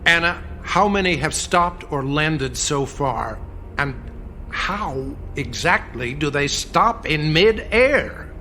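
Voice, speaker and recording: A man's voice: on the high side, expressive, takes it slowly, sharp tone, slight background noise, exaggerated voice. A man acting in a radio drama as a US president in a crisis, commanding and cautious, with a firm, authoritative manner. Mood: urgent, firm.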